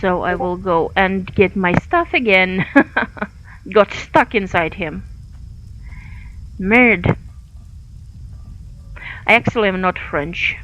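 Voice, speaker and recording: A woman's voice: medium pitch, takes it slowly, long pauses, a bit bright, highs cut off, a little hiss or hum. A woman from Finland with a Finnish accent, thinking aloud with many fillers while she streams a game. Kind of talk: live gameplay commentary.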